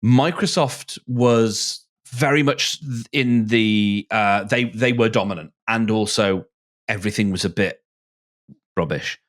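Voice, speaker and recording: A man's voice: on the low side, a little slow, fairly bright tone. A man talking casually as a podcast panelist, hesitantly searching for his words. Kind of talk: casual discussion.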